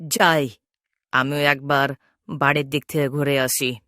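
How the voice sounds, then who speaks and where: A woman's voice: extremely low pitch, little pitch movement, measured pace, pushed and bright, no echo, clean studio sound, heavily acted. A woman voicing a protective, stern mother ghost in a cartoon, speaking in a firm, commanding manner. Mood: resolute. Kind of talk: Bengali cartoon voice acting.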